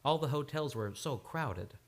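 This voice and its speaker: A woman's voice: low-pitched, lively intonation, normal speed. A woman playing a troubled, sardonic man with amnesia, wry and sarcastic, in a live old-time radio play. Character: troubled, sardonic male amnesia patient, posing as a husband.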